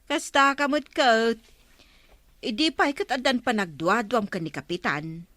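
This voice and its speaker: A woman's voice: a little high, a little slow, a bit bright, no room sound. A woman with an Ilocano accent playing a frank, scolding village woman in a radio comedy drama. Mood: chiding.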